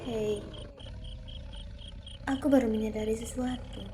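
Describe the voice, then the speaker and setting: A woman's voice: fairly high pitch, lightly acted. A woman with an Indonesian accent voicing an ancient, teasing and domineering female vampire in an anime-style ASMR roleplay, with taunting delivery. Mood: mocking, playful. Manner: slow, taunting, mixing Indonesian with bits of English and Japanese. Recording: steady insect and nature ambience noise.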